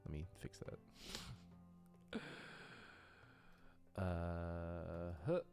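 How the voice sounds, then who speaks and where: A man's voice: low voice, very slow, creaky, music in the background. A man giving casual, unscripted game master commentary as he works through tabletop character sheets, now and then murmuring little nonsense sounds to himself. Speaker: creator and game master of a tabletop RPG audio drama.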